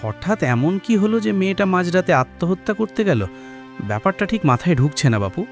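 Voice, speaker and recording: A man's voice: high-pitched, very animated, a little fast, faint music behind, pitched above the performer's own voice. A man voicing a doubtful rustic villager in an audio horror story, puzzled and folksy.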